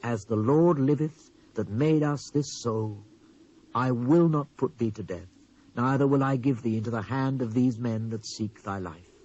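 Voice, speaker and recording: A man's voice: mid-range voice, unhurried, a little mellow, natural voice. Muffled old tape, british guy playing an unsure ancient king, formal and regal, bible drama. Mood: secretive, grave. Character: uneasy, wavering male king of ancient Judah. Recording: dull lo-fi old cassette transfer.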